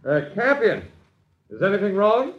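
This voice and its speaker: Muffled old-radio formal, wordy lodger. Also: male voice, sits high, very animated, moderate pace, heavy background noise. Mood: polite, puzzled. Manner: formal, precise, indignant. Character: polite, wordy, impoverished male lodger. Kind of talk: 1950s radio acting. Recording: band-limited old radio recording.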